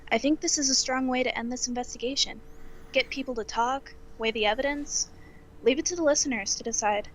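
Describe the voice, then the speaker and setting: A woman's voice: high-pitched, a little fast, a little hiss or hum, lightly acted. A woman playing a supportive, affectionate but blunt girlfriend in an audio drama, speaking in a frank, casual, teasing way. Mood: frank, encouraging. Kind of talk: naturalistic audio drama dialogue.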